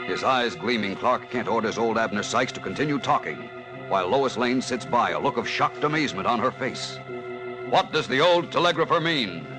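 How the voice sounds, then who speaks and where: A man's voice: mid-range voice, expressive, normal speed, loud music behind the voice, rough recording. An American man narrating a 1940s radio serial in a suspenseful, emphatic, theatrical style.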